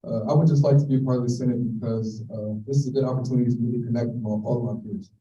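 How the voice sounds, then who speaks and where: Young male student candidate, quick and mellow-toned, distant roomy mic. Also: medium pitch, highs cut off. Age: young adult. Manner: earnest, steady self-introduction with filler uhs.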